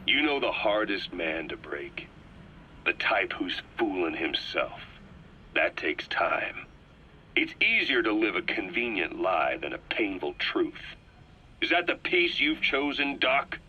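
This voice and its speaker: An actor playing a cold, calculating military interrogator in a video game cutscene, speaking with raspy, deliberate menace as he accuses. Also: deep voice, very animated, sharp tone, muffled recording, exaggerated voice. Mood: quiet menace.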